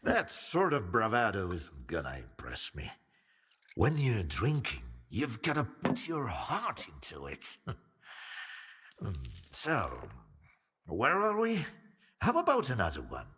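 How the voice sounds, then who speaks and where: An actor playing a boozy, sardonic older scientist in a video game, theatrical and philosophising, with a husky, gravelly voice. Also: male voice, lowish voice, wildly swooping pitch, slow pace, pauses often, a bit bright, big-room sound, exaggerated voice. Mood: teasing, dismissive. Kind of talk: video game cutscene acting.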